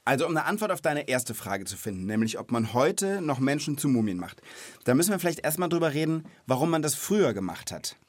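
A man's voice: mid-range voice, big pitch swings, a little fast, dry recording. A man in his thirties hosting a children's podcast, explaining things in an informal, chatty, conversational way.

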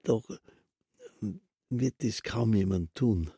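An actor playing a long-winded, musing official who explains things pedantically and deliberately in a radio drama. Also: male voice, lowish voice, gravelly, mellow, slightly rough, dry recording, studio quality, exaggerated voice. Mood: musing, explanatory. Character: long-winded, musing male official, a secretary, confiding rules. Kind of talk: German literary radio drama acting.

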